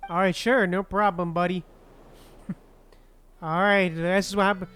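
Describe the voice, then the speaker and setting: A woman gives casual gameplay commentary as a streamer. Her delivery is slow and chatty, with short reactions and lines read aloud, over faint music. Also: lowish voice, fairly even intonation, a bit bright, clean tone. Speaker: game streamer.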